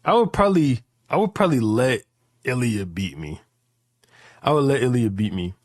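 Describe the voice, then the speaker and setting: Man, late twenties, average pitch, casual streamer chat, emphatic repetition, slangy and profane, dry recording. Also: animated, normal speed, dry recording. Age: late 20s. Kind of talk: casual chat, rambling hypotheticals.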